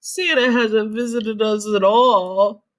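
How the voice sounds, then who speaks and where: A woman's voice: fairly high pitch, steady tone, takes it slowly, pitched above the performer's own voice. A woman voicing an earnest, self-satisfied young boy cat in a children's storybook, with an exclamatory way of talking. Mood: dejected.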